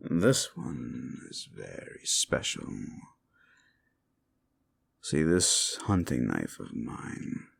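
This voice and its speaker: A man playing a cruel, menacing slasher killer in a horror audio roleplay, taunting the listener with a gravelly voice and drawn-out lines that often sink into whispers. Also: extremely low pitch, heavily acted. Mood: menacing, savoring. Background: music.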